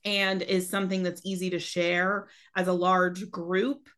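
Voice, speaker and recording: A woman in her thirties co-hosting a podcast in casual chat, her voice a little raspy from a cold, talking loosely with many restarts. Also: fairly low pitch, level tone, a little slow, a bit bright. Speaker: podcast co-host.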